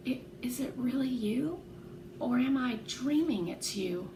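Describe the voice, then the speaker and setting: A woman's voice: high-pitched, expressive, measured pace, far from the mic, voice pushed up, heavily acted. A woman voicing a frail, confused elderly woman who is tearful and pleading, in a children's book read aloud. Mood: dazed wonder. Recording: echoey room with clear background noise.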